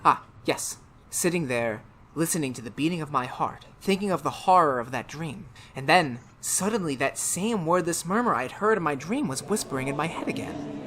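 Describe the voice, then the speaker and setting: Woman playing a tormented man in radio horror, low voice. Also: animated, noisy background. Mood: brooding. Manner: melodramatic, halting, emphatic repetitions. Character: tormented man haunted by visions, Darrell, unravelling into horror. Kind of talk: 1930s radio horror drama monologue.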